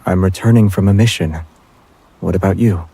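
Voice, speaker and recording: A man acting a calm, protective young man with understated, gently teasing deadpan delivery in a romantic audio drama. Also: deep voice, moderate pace, husky. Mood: quietly concerned.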